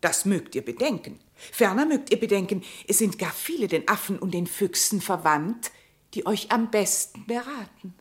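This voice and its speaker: A woman plays a persuasive lady counsellor in a classic radio drama. Her mid-pitched voice moves expressively up and down, earnest and coaxing in formal verse. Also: lively intonation, moderate pace, natural voice.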